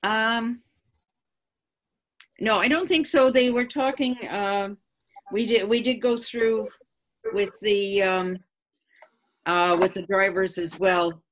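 A woman's voice: mid-range voice, fairly even intonation, takes it slowly, long pauses. A woman town councillor talking informally on a video call, with hesitant, loosely structured phrasing. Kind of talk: informal meeting discussion. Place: remote video call from a room.

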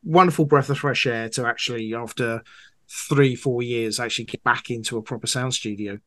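A man's voice: medium pitch, fairly even intonation, fairly quick, a bit bright. A man talking casually as an interview guest.

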